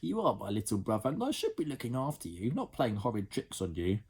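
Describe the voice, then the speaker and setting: A man voicing a girl, an older sister who is remorseful and caring, speaking softly and apologetically. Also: fairly low pitch, sing-song, fairly quick, husky, pitched above the performer's own voice, exaggerated voice. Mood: tearful. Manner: soft, pleading, apologetic.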